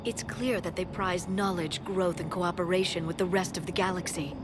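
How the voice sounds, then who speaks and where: A woman's voice: lowish voice, steady tone, normal speed, noisy background, natural voice. A woman playing an earnest, scholarly, hopeful alien scientist in a video game. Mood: earnest, focused. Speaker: scientist and expert.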